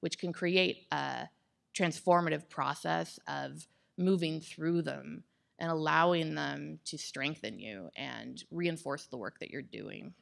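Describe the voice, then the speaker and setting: A woman in her thirties speaking in an articulate, measured way as an interview guest on stage. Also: low voice, vocal fry. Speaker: guest expert on climate psychology. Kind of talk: thoughtful interview answers on stage. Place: indoor stage with wood-slat backdrop. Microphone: microphone on boom stand.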